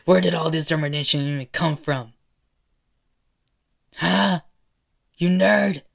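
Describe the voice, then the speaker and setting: A man voicing a furious, hurt young rival who shouts accusing insults in an anime fan drama. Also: mid-range voice, steady tone, unhurried, vocal fry, slightly rough, full character voice. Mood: angry, hurt.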